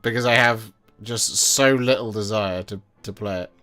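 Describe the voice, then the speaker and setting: Bright-voiced man in his thirties, rambling. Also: lowish voice, normal speed, faint music behind. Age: 30s. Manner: rambling, self-correcting, candid. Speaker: hobby streamer. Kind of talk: casual chat while painting miniatures. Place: home painting desk.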